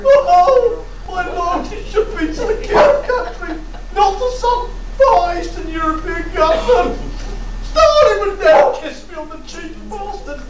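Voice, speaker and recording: A man acts out a sentimental, easily swayed British airman in a comic stage radio play. The voice is very high and unhurried, swinging widely, in an echoey room. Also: animated. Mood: tearful outburst. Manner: overwrought, comic. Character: gullible patriotic British airman, a sentimental turncoat. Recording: noisy echoey hall, distant mic.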